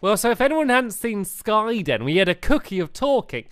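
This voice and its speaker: A woman's voice: mid-range voice, very animated, moderate pace, no room sound, clean studio sound. A woman chatting and laughing while commentating a video game with a co-host, often repeating words as she restarts. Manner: chatty, giggly, stammering restarts. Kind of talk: casual gameplay commentary.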